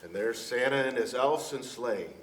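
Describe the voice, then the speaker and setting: A man reading a picture book aloud to children in a deliberate, dramatic storyteller's manner. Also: medium pitch, a bit bright. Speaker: storyteller dressed as Santa Claus, reading to children. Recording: echoey room, distant mic, faint noise.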